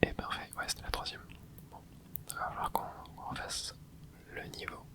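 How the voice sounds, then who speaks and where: Whispered ASMR gaming commentary. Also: moderate pace. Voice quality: breathy unvoiced whisper. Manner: soft casual running commentary with short interjections. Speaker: gaming ASMR creator narrating own play. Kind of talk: whispered ASMR video game let's play commentary.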